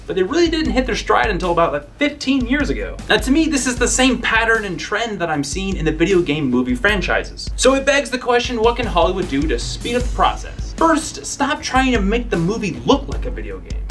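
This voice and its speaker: A man's voice: high voice, sing-song, a little fast, few pauses, a bit bright. A young American man giving scripted video essay commentary as a web show host, emphatic and opinionated with punchy asides. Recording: music playing behind the voice.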